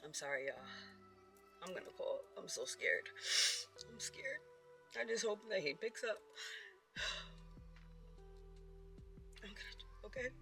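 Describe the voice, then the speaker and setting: A woman's voice: mid-range voice, very animated, slow, pauses often, pushed and bright, soft background music. A woman speaking earnestly and vulnerably in an emotional, tearful personal confession to viewers. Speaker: personal vlogger.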